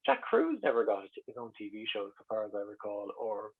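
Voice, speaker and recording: A man's voice: on the low side, huge pitch swings, a little fast, a crowd in the background. An Irish man chatting reflectively as an interview guest, wry and often interrupting himself. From Ireland. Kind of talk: casual reflective interview chat. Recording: muffled, radio-like call audio.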